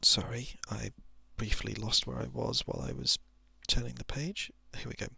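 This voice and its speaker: A man reading a story in a hushed, deliberate ASMR narration style, often slipping toward a whisper, close to the microphone. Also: mid-range voice, fairly even intonation, dry recording. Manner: hushed, breathy whispering at times, deliberate literary phrasing. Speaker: storyteller reading a short thriller.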